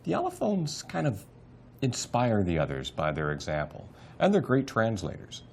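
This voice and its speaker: A man's voice: on the low side, huge pitch swings, normal speed, vocal fry, a little mellow, slight background noise. A man, organizing a club in a scripted dialogue, upbeat and persuasive.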